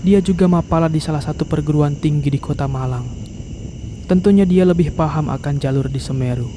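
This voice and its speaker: A man's voice: on the high side, fairly quick, background noise. A man narrating a horror story in a scripted storytelling style.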